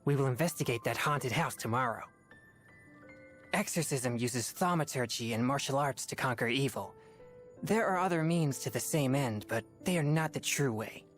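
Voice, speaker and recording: A woman voicing an earnest, formal teenage boy exorcist in a video game, speaking in a measured way. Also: a bass voice. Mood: calm, earnest. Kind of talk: video game character voice lines.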